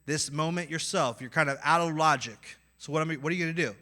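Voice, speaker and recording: A man with a Midwestern accent preaching a sermon, acting out inner voices and swinging between loud and quiet. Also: fairly high pitch, quick pace. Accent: Midwestern American. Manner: animated, acts out inner voices with swings in loudness. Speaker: pastor.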